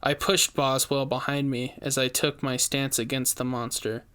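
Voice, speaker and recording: A man's voice: medium pitch, little pitch movement, normal speed, a bit bright, clean tone. A man reading a scripted first-person horror story as its narrator.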